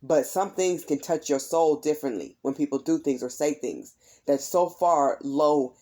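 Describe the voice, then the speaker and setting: Woman tarot reader, slightly raspy, mellow dark tone, chatty and direct with viewers. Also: low-pitched, normal speed. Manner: chatty, repeats "is what I'm hearing". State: voice tired from heavy use. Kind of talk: casual tarot reading to viewers.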